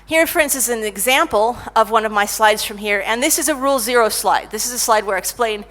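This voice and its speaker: Lively woman giving a conference talk. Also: on the high side, fast talker. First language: English. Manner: lively, self-mocking, animated storytelling. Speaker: conference speaker, technical writer.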